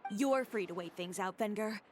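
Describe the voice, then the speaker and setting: A woman voicing a proud young fashion designer in a video game, cool, measured and self-assured. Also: medium pitch, darkish tone, soft background music, lightly acted.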